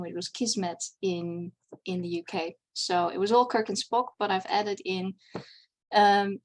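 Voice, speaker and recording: A Dutch woman whose first language is Dutch, giving a casual, chatty convention presentation over a video call, with wry asides. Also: medium pitch. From Netherlands.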